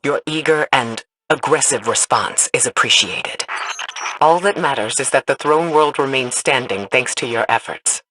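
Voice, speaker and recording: A woman's voice: rumbling low, moderate pace, noisy background. A woman voicing a solemn spymaster in a video game, giving mission briefings in a formal, authoritative manner. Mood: measured. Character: solemn, commanding female spymaster, measured and grave.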